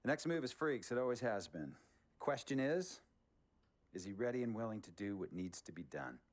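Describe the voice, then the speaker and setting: Low-voiced, authoritative team owner. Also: male voice, big pitch swings. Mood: firm. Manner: measured, authoritative, advising. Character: blunt, worldly male team owner, older mentor. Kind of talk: dramatic cutscene dialogue.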